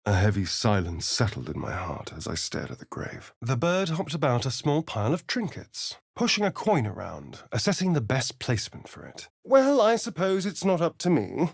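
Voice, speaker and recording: A man's voice: medium pitch, creaky, dry recording, studio quality. A man voicing a sly, haughty vampire spawn in a video game, with an arch, theatrical, drawling and sardonic delivery. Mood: hushed narration. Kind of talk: video game character voice acting.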